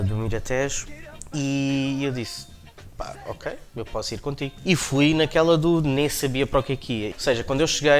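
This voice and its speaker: Thirties male interviewee, Lisbon-area Portuguese accent, easygoing anecdotal delivery over soft music. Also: medium pitch. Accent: European Portuguese, Lisbon area. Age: 30s. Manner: relaxed storytelling, slang, restarts. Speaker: interview guest, dancer. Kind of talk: documentary interview monologue.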